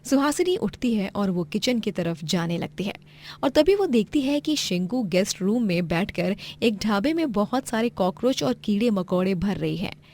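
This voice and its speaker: A woman's voice: medium pitch, a little mellow. A woman narrating a scripted moral tale as the storyteller.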